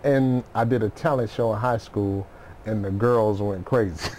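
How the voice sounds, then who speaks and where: A man's voice: fairly low pitch, fairly even intonation, moderate pace, a little mellow, slight background noise. A middle-aged man speaking as an interview guest, chatting casually and informally with slangy storytelling. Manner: informal, slangy storytelling, often says you know what I mean. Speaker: interview guest, music producer. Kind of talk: casual chat.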